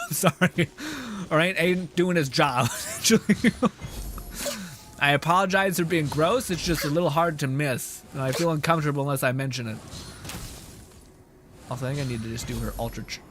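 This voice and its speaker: A man's voice: on the high side, normal speed, a bit bright, slight background noise. A young man giving casual, sweary, joking live gameplay commentary and chatting with viewers. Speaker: game streamer. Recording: music playing behind voice. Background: background music.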